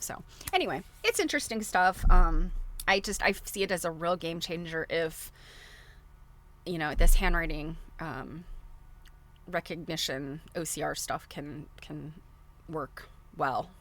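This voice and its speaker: A woman's voice: lowish voice, fairly bright tone. A woman hosting a casual livestream, chatting to viewers in a rambling way as she thinks aloud. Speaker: livestream host.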